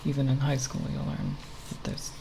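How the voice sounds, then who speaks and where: A young woman talking softly in a quiet, unscripted ASMR ramble, hesitant and self-deprecating, sometimes breathy. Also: a bass voice, moderate pace. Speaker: ASMR video creator. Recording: steady background noise with tapping sounds. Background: plastic bottle tapping sounds.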